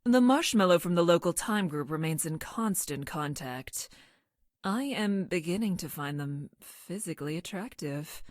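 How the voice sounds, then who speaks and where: A stilted, logical young woman scientist from the future for a video game. Her voice sits slightly low and is recorded very dry. She words everything formally and precisely, with clinical deadpan delivery. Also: a little slow, no room sound. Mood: deadpan affection. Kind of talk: video game character voice lines.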